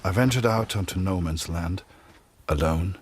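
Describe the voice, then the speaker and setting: An actor playing a grave, weary middle-aged soldier, narrating solemnly and with measure in a dramatic game. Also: male voice, low voice, moderate pace, a little mellow, slight background noise, close to an everyday voice. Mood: solemn, haunted. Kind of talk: scripted dramatic narration, letter-like.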